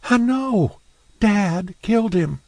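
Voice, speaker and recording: A man's voice: high-pitched, lively intonation, slow, darkish tone, no room sound, voice pushed up, exaggerated voice. A man voicing an angry, alarmed young woman in an audiobook, speaking sharply and indignantly. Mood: upset.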